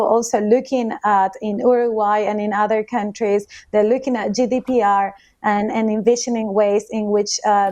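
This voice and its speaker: Halting, earnest woman panelist, higher voice. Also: darkish tone, dry recording. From Latin America. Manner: earnest, halting with frequent pauses. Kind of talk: panel discussion.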